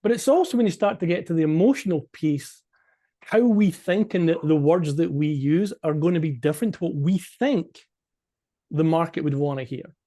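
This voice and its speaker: A man's voice: fairly high pitch, normal speed, dark tone. A man with a Scottish accent giving an informal, explanatory business presentation as a coach, conversational and emphatic, often checking in with listeners.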